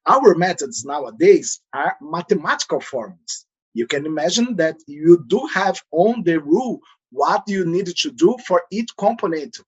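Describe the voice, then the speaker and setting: Brazilian consultant, native Portuguese, fairly high-pitched man, careful explainer with hesitations. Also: breathy edge, highs cut off. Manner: explanatory, with frequent "uh" and non-native phrasing. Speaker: transfer pricing consultant. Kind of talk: podcast interview answers.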